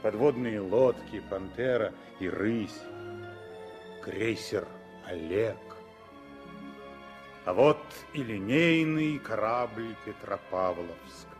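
A man's voice: lowish voice, animated, takes it slowly, pauses often, faint music behind. A man narrating a 1960s radio drama with emphatic, dramatic storytelling.

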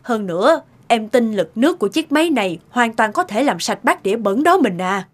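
A woman's voice: fairly high pitch, very animated, a little fast. A woman voicing a kind but exasperated middle-aged lady of the house in a cartoon moral tale, speaking earnestly. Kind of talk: animated moral-tale voice acting. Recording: music under the voice.

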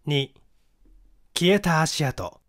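A man narrating a mystery story from a script in an expressive storytelling manner. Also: on the high side, extremely slow, no echo, studio quality. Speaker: audiobook narrator, stage actor.

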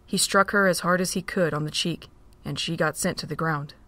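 A woman narrating a fan audio drama with steady, measured reading. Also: lowish voice.

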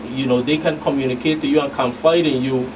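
Male law enforcement guest, mid pitch, explanatory and halting, with clear background noise. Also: fairly even intonation. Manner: halting, repeats words. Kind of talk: explanatory radio interview answers. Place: small radio studio. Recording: noisy, distant mic with room hum.

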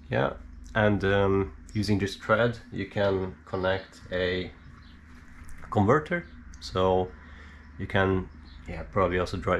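A man giving an unscripted hands-on technical explanation in a hesitant, halting way, thinking aloud with many pauses. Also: deep voice, vocal fry, a little hiss or hum. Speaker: hobbyist presenter showing his equipment. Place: indoor room at a cluttered worktable.

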